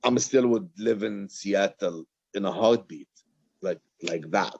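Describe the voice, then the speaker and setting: A man from Egypt, a poet and author, talking informally and haltingly on a video call, often repeating his words. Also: lowish voice, vocal fry, darkish tone, husky. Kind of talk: informal question-and-answer talk after a reading. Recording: roomy, dull video-call sound.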